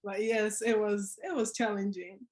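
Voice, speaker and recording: A woman's voice: mid-range voice, level tone, normal speed. Young woman, panel speaker, earnest, reflective, video call. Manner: earnest, reflective, with soft laughs. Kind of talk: personal story on a panel.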